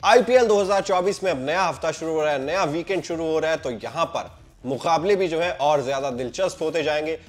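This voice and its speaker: Young man, Hindi accent, cricket presenter, brisk emphatic delivery, slightly raised pitch. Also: faint music behind. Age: young adult. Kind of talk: scripted pitch-report presentation.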